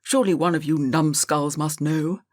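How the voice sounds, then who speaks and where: A woman's voice: deep voice, fairly even intonation, normal speed, a little mellow, no room sound, studio quality, lightly acted. A woman reading an audiobook, voicing a stern, impatient elderly male schoolmaster who barks orders at his class. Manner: barking, scolding commands.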